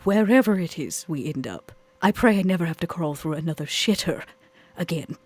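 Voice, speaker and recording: A woman's voice: lowish voice, animated, a little fast. A woman playing in a tabletop role-playing podcast, speaking in and out of character with a dry, sardonic manner.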